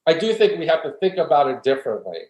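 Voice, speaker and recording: A man's voice: on the high side, sing-song, fast, a little mellow, dull audio. A man, a philosophy guest, earnestly explaining his argument step by step in an interview. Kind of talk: conversational interview, reflective argument. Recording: roomy echo.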